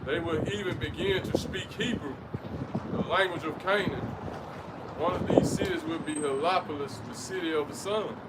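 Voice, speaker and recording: A man reading scripture aloud outdoors in a steady, measured way. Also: a little high, recorded from a distance. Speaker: scripture reader. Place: outdoors by a street. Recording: off-mic, street traffic noise. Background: passing vehicles.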